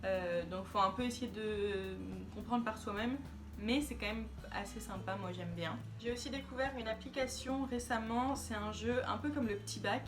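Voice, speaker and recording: A woman's voice: fairly high pitch, moderate pace, music in the background. A woman vlogger talking casually to camera, chatty and hesitant with many fillers.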